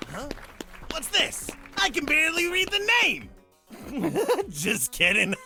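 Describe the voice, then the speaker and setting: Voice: sits high, vocal fry, exaggerated voice. An actor playing a flamboyant, teasing demon master of ceremonies in a visual novel, announcing with theatrical relish. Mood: playful. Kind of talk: character acting in a visual novel.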